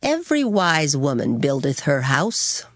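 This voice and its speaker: A woman's voice: sits low, sing-song, a little slow, dry recording, studio quality. A woman reciting Bible verses in a formal, theatrical dramatized style. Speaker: scripture reader. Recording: music behind the voice. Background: background music.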